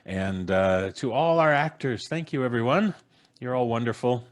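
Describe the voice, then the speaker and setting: A man's voice: mid-range voice, expressive, normal speed. A middle-aged man casually hosting a livestream on a video call. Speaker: host. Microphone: video call, close mic with headphones.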